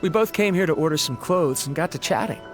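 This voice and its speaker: A man's voice: fairly high pitch, lively intonation, fast talker, natural voice. An actor playing a friendly, polite young man who talks cautiously and conversationally, in a video game cutscene. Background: game music.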